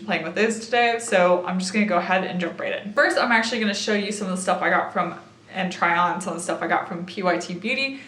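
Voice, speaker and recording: A young woman vlogger in a chatty makeup haul. Her voice is slightly raspy and tired after a late night, and she thinks aloud while she applies makeup. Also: mid-range voice, fairly bright tone, echoey room. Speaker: beauty vlogger. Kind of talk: casual makeup haul and try-on.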